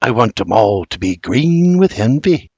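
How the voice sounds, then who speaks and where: A man's voice: medium pitch, sing-song, measured pace, vocal fry, darkish tone, husky, no echo, lo-fi sound, full character voice. A man voicing a kind, motherly middle-aged farm wife who speaks tenderly.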